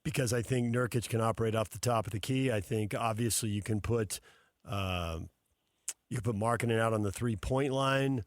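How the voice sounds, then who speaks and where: A man's voice: lowish voice, moderate pace, dry recording, studio quality. A man hosting a sports radio show in a conversational, on-air style. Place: radio studio.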